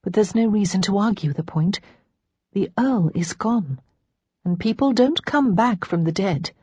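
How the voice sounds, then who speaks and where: A woman voicing a gentle, earnest young widowed lady of rank in an audiobook. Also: mid-range voice, expressive, unhurried, husky, dry recording, muffled recording. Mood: gentle, grave. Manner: gentle, grave, genteel. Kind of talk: audiobook character dialogue.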